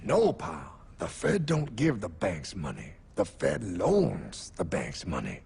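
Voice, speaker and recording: A man's voice: low-pitched, wildly swooping pitch, slow pace, gravelly, a little mellow, husky, heavily acted. A man voicing a brash, cynical cartoon pal who lectures in a wisecracking, exasperated know-it-all way. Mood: exasperated lecturing. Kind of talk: animated cartoon explainer dialogue. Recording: music behind the voice.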